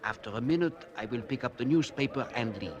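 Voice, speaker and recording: A furtive, controlling gentleman acted by a man speaks quietly and formally at a medium pitch. It sounds like 1940s radio drama, with a clear bustle of people behind the old recording. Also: lively intonation, fast, dull audio, a crowd in the background, natural voice. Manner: hushed, formal, careful diction. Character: furtive, cautious foreign gentleman, secretive and controlling.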